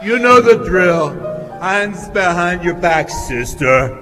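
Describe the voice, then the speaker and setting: A man's voice: high voice, animated, slow pace, a bit bright, soft background music, exaggerated voice. An actor playing a brusque, world-weary policeman giving curt commands in a stage radio play. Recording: reverberant hall stage recording.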